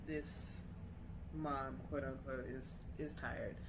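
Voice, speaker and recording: A young woman and mother co-hosting a casual podcast with her wife, with dry, unhurried jokes. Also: extremely low pitch, fairly even intonation, noisy background. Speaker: podcast co-host. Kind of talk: casual chat.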